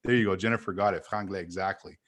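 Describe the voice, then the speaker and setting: A man from Montreal, an animated guest who tells stories and corrects himself as he chats on a video call. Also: low voice, quick pace. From Canada. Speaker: guest sales speaker. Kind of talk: casual video-call chat.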